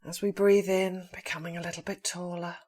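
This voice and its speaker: A woman leading a guided meditation in a soft, breathy voice, speaking calmly in short, slow phrases with long pauses. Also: on the low side. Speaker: meditation teacher.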